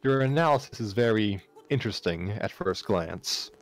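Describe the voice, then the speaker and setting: Man voicing a low, kindly teaching assistant. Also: expressive, close to an everyday voice. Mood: kindly, earnest. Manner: formal, measured, encouraging. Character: kindly, earnest, formal male university teaching assistant. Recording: music playing behind the voice.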